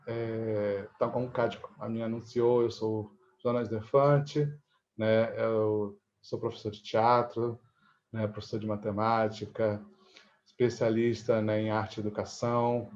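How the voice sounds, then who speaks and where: A man with a Brazilian Portuguese accent speaks as an interview guest in a conversational, halting way, often adding tag questions. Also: fairly low pitch, darkish tone, muffled recording. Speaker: interview guest, theatre teacher. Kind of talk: conversational self-introduction. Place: indoors, room with cupboard and door.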